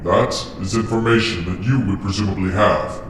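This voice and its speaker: Man acting an imperious, echoing low computer overlord. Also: close to an everyday voice. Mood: cold, commanding. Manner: formal, measured, commanding. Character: imperious, cold master control computer program, male. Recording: roomy, distant, with faint noise.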